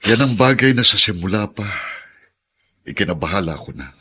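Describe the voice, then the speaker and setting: A man's voice: on the low side, lively intonation, a little fast, bright, forceful tone, slightly rough, full character voice. An actor with a Filipino accent playing a grave, weary middle-aged father in a radio drama. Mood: grave, resigned. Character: grave, weary father, burdened by family shame.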